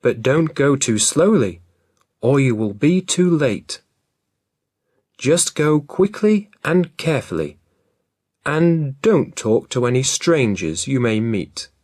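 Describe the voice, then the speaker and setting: A man's voice: medium pitch, very animated, slow pace, a little mellow, no room sound, clean studio sound, pitched above the performer's own voice. A man voicing a caring mother in a storybook, giving careful instructions in a raised voice. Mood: instructing. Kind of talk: storybook reading.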